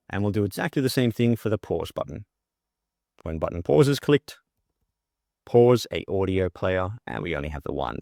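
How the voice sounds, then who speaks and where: Low-voiced man giving a casual software tutorial. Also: no echo, clean studio sound. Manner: informal, thinking aloud while demonstrating. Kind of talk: casual screen-share walkthrough.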